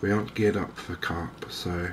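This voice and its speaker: A softly spoken man giving hesitant, unhurried ASMR-style commentary over a video game. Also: sits low, fairly even intonation, soft background music. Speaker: gaming video host. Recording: clear game ambience noise behind voice. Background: outdoor wildlife ambience from a fishing game.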